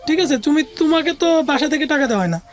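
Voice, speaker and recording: High-voiced Bangladeshi woman telling her story. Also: animated, quick pace. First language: Bengali. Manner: conversational, mixes in English words. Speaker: interview guest. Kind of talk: recounting a personal life story.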